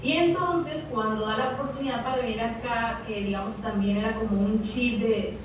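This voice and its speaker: A young Mexican woman, a radio announcer, speaking with a Mexican accent. She answers interview questions haltingly, repeating words as she thinks aloud. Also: on the high side. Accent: Mexican Spanish. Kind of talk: interview over a video call. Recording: echoey, distant, noisy video call audio.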